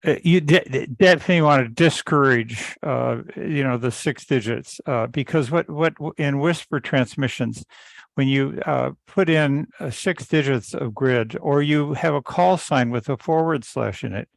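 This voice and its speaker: A man giving a casual technical explanation in an online meeting, halting and often repeating the starts of words. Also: medium pitch, gravelly, no room sound. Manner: halting, stammering repetitions. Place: online teleconference call.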